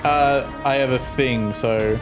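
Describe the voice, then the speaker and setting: A man's voice: mid-range voice, steady tone, slow. A man chatting casually as a player in a tabletop game. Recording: music behind the voice. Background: background music.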